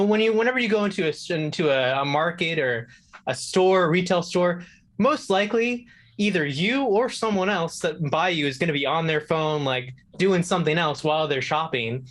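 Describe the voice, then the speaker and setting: A man's voice: on the high side, a bit bright. A man speaking as a show guest, explaining things casually and thinking aloud. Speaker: video game contributor, show guest.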